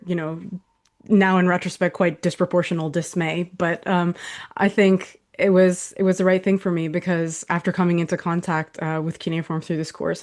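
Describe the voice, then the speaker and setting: A middle-aged woman from Saudi Arabia, a historian, giving thoughtful, chatty answers in an interview over a video call. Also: fairly low pitch. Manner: thoughtful, warm, with soft laughs and fillers. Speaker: historian guest.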